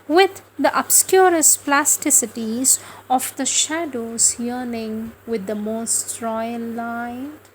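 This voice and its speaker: A woman reciting spiritual prose devotionally, in a solemn, declamatory manner with measured phrasing and pauses. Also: fairly high pitch, slight background noise, poor audio. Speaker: reader of spiritual writings.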